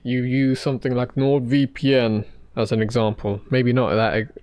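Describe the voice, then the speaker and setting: A man hosting a livestream, chatting casually with his viewers while he draws, in a halting way full of restarts. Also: mid-range voice, flat delivery, darkish tone, dry recording. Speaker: livestream host.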